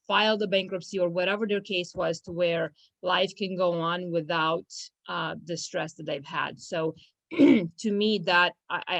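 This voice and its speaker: A young woman from Romania speaks in a casual interview, her voice sitting on the low side. She hesitates often, restarting phrases and filling gaps with ums. The sound is dry and close. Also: dry recording, poor audio. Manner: hesitant, many fillers and restarts, laughs easily. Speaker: law firm business manager.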